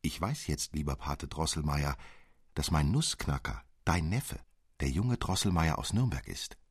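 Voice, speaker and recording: A man's voice: extremely low pitch, big pitch swings, measured pace, breathy edge, no room sound, clean studio sound, full character voice. A man voicing an earnest, anxious little girl in a storybook, pleading in manner.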